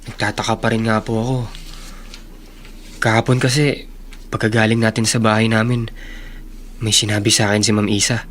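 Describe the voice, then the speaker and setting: A man's voice: on the low side, level tone, moderate pace, pauses often, fairly bright tone, a little hiss or hum, close to an everyday voice. A troubled young son, acted by a man, respectful and hesitant. He speaks as a polite student in a radio drama. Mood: pensive.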